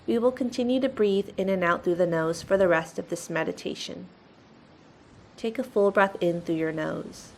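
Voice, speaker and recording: A woman's voice: lowish voice, moderate pace, a little hiss or hum. A woman leading a guided meditation in a soothing, instructional manner.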